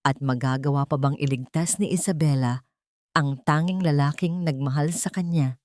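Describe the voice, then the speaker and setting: A woman's voice: sits low, moderate pace, darkish tone, no room sound, studio quality. A woman giving dramatic scripted narration for an audio drama, solemn and suspenseful.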